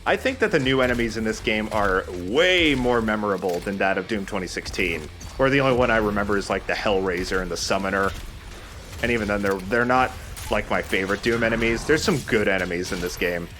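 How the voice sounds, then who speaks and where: A man's voice: lowish voice, a little fast, fairly bright tone, soft background music. A man giving casual gameplay commentary as the host of a let's play.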